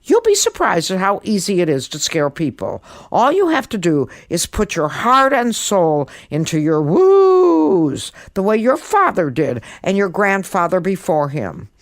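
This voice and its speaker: Casting: female reader for a doting ghost mum in a storybook, firm and coaxing, animated, swooping intonation. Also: on the low side, unhurried, few pauses, no echo, studio quality, exaggerated voice. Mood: coaxing, brisk. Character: brisk, doting ghost mother, coaxing and insistent. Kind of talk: storybook character voice.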